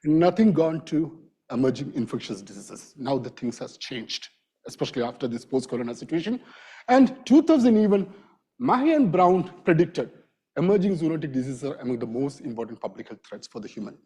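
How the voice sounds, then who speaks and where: Middle-aged man, vet lecturer, raspy from a cold, higher voice, dark and mellow, self-deprecating. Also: big pitch swings. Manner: informal, self-deprecating, halting. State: has a bad cold. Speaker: invited lecturer, wildlife vet. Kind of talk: academic lecture. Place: lecture hall.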